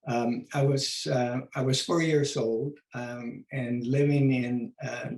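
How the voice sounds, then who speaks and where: An older man from Mexico, gentle and modest, telling his life story on a video call. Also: medium pitch, fairly even intonation, slow, reverberant. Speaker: author and guest. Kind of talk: memoir storytelling.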